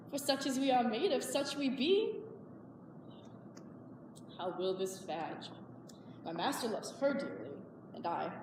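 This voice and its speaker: A woman performing Shakespearean verse as a young woman disguised as a man, speaking in a wondering, lyrical way. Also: medium pitch. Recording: echoey hall from far off, background noise.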